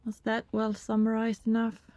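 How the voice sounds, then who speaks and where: A woman playing an anxious, apologetic vampire neighbor in an ASMR roleplay, soft and hesitant, often whispering, with politely formal wording. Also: on the high side, monotone, normal speed, dry recording, natural voice. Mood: reluctant, sheepish.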